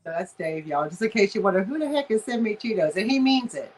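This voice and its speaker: A woman's voice: medium pitch, expressive, fast talker, a little hiss or hum. A woman from Texas with a slight Texas accent, hosting a casual live chat and greeting viewers in a folksy way over a video call. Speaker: livestream host.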